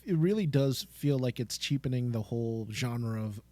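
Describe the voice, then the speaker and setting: A man's voice: mid-range voice, lively intonation, normal speed, warm tone, dry recording. A man co-hosting a podcast, speaking casually and enthusiastically. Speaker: podcast co-host.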